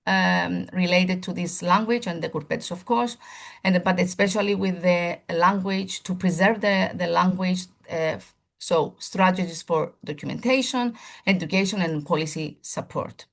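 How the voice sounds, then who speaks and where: A woman's voice: lowish voice, moderate pace, vocal fry. A woman, a primary school teacher, giving a seminar presentation in formal lecture phrasing, earnest and often restarting as she searches for words.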